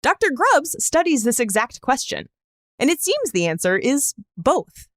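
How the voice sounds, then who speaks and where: High, lively-pitched woman podcast narrator. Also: measured pace, no echo, studio quality. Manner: wry, conversational asides. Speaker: podcast host. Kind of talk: scripted narration.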